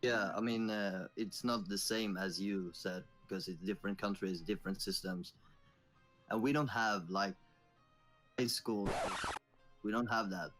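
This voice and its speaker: Young man co-host, English, lowish voice, halting delivery. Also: fairly even intonation, fairly bright tone, faint music behind, rough recording. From Sweden. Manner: halting, searching for English words. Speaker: podcast co-host. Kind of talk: casual chat.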